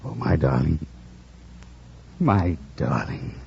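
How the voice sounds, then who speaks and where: An actor playing an anguished, passionate blind master in a 1940s radio drama, with halting, fervent delivery. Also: male voice, rumbling low, big pitch swings, vocal fry, exaggerated voice. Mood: overcome, adoring. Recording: dull vintage radio recording.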